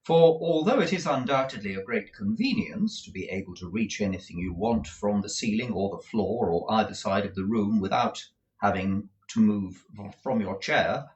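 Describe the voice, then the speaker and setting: A man voicing a polite but harried young male dramatist. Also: fairly low pitch. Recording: roomy echo.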